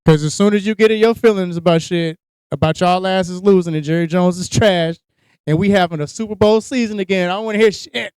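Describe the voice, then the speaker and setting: A man's voice: sits high, a little fast, clean tone, no room sound, poor audio. A man co-hosting a podcast, telling crude, joking stories in a casual chat. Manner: crude, joking, animated storytelling. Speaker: podcast co-host.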